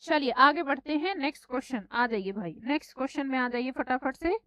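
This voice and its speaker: High-voiced woman teacher with a sore throat. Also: a little fast, sharp tone, no room sound. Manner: scolding, addresses students as beta and bhai. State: sore throat, slightly hoarse. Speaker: exam prep teacher. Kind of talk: live online class. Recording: dry, slightly dull online class audio.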